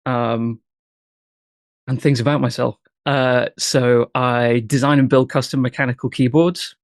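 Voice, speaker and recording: A man from England, a tech lead, introducing himself in a relaxed way on a video call. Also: mid-range voice, a little slow, no room sound, clean studio sound.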